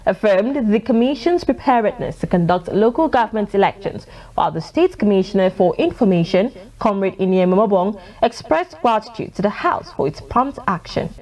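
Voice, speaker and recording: A woman's voice: mid-range voice, sing-song, moderate pace, darkish tone. A woman with a Nigerian accent reading scripted news as a television anchor. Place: TV news studio.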